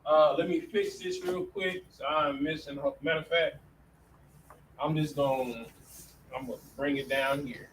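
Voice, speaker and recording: Slow-talking man teaching a class. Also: a little high, fairly bright tone. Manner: casual, slow, fills with "all right". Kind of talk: classroom lesson. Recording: distant mic with faint room noise.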